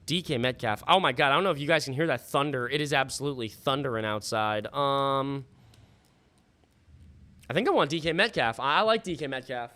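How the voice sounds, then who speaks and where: A man hosting a live fantasy football stream in a casual, slangy, sweary way, thinking out loud, his voice starting to give out. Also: mid-range voice. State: losing his voice. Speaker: livestream host. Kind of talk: casual live fantasy football draft commentary with chat shout-outs.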